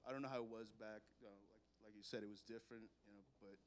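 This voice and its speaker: A man with a noticeably low voice speaks in a group discussion. He talks in a halting way, full of fillers and repeated words. A faint hiss of noise sits behind him. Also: steady tone. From immigrant to the United States. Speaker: audience member in a discussion. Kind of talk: casual spoken opinion.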